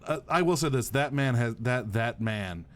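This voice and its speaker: A man's voice: medium pitch, animated, moderate pace, a bit bright. A man in his late twenties co-hosting a podcast, telling rambling, profane anecdotes with restarts. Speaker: podcast co-host. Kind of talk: casual profane chat.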